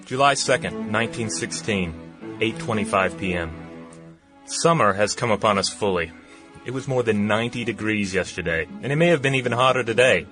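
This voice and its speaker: A man playing a plain-spoken tradesman from 1916 in a radio drama. His voice is fairly low as he speaks a diary entry, with music clearly playing behind him. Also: a little fast, natural voice. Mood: calm, reflective. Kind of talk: radio play diary monologue.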